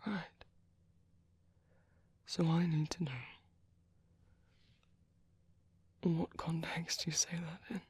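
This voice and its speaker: A man playing a gentle, caring vampire priest in a whispered ASMR roleplay, speaking slowly and tenderly with soft, hesitant reassurance. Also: on the high side, little pitch movement, no room sound, full character voice. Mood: tentative, tender. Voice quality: soft, breathy, husky whisper.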